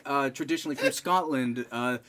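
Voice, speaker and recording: A man's voice: medium pitch, brisk. A man on a podcast panel speaking in casual chat. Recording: very noisy background.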